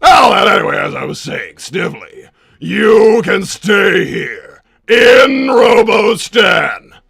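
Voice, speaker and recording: Big loud evil cartoon scientist, scheming and pompous, really gravelly, drags words out. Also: male voice, sing-song, faint music behind, heavily acted. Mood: scheming, gloating. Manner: theatrical, booming, drawn-out shouting. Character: bombastic egomaniacal male cartoon villain scientist, scheming uncle. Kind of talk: improvised character livestream Q&A.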